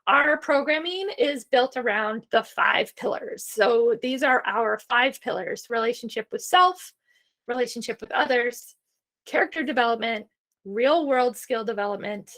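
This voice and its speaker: A woman's voice: a little high, a little slow, a bit bright. A woman presenting a homeschooling webinar in a reassuring, chatty way, with frequent fillers. She is starting to lose her voice. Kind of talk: informal instructional webinar talk.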